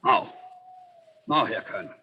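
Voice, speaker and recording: An actor playing a fearful, subservient Nazi junior officer, hesitant and deferential, in 1940s radio drama style. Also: male voice, normal speed, lightly acted. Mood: cowed, anxious. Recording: muffled, noisy 1940s radio recording.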